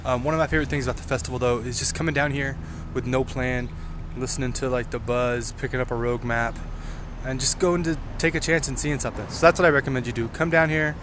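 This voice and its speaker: A man's voice: mid-range voice, fairly even intonation, quick pace. An American man in his thirties chatting casually to camera outdoors, giving recommendations. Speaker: guest recommending local events. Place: outdoors on a city street. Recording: noisy outdoor street, passing cars.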